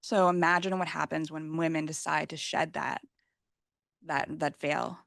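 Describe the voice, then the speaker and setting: A woman speaking as a panelist, measured and pointed. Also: low voice, steady tone, gravelly, a bit bright, no room sound, studio quality. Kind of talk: panel discussion.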